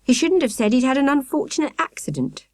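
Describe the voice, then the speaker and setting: A woman voicing an outspoken, stubborn, excitable British girl in a children's storybook, insistent and protesting. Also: sits high, brisk, no echo, studio quality, pitched above the performer's own voice. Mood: demanding, protesting. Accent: British English.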